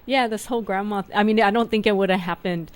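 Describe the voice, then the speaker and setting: A woman's voice: mid-range voice, steady tone, fast talker. Chatty woman at an art fair. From California, USA. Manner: chatty, laughs often, uses fillers like "um" and "like". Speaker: artist, guest. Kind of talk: casual interview. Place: busy art fair booth.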